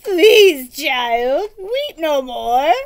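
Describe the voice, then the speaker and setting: Woman acting pompous storybook knight, male character, high pitch, slow declamatory delivery, courtly and gallant. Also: big pitch swings, heavily acted. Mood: pleading. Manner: declamatory, archaic courtly phrasing.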